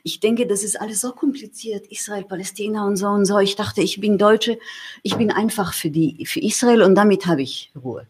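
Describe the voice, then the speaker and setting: Husky-voiced woman thinking aloud. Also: medium pitch, moderate pace. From Germany. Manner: thoughtful, searching, many restarts and fillers. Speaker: interview guest. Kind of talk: reflective conversational interview.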